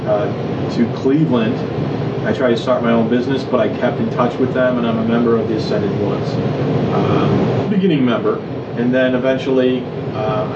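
A man's voice: mid-range voice, muffled recording. Echoey, distant man over heavy noise. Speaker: podcast player in a tabletop roleplaying game. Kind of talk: informal explanation to listeners. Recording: echoey, distant, very noisy room.

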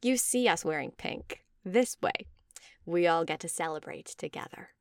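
A woman's voice: lowish voice, expressive, normal speed, no echo, clean studio sound. A woman voicing a smug, preachy male commune leader in a story, speaking with insistent cheer. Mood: forced cheer. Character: Bill, smug tall male leader of a joy-obsessed commune. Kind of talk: scripted story dialogue.

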